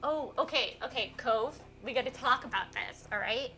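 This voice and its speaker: A woman livestreaming a visual novel, chatting casually and reading the story aloud in an animated way, reacting out loud. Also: on the high side, normal speed. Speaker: streamer playing a visual novel. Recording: faint music behind.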